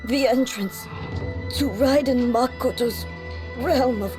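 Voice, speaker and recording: A regal, immortal warrior woman from a dramatic video game. She speaks slowly and formally, with solemn resolve, in a somewhat high voice. Music plays behind her. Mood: strained, resolute. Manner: formal, measured, grave.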